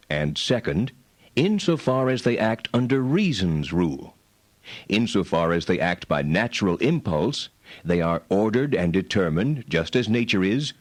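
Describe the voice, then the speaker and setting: An actor playing a learned medieval philosopher, reading formal text in a deliberate, precise, didactic way. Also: male voice, fairly low pitch, no echo.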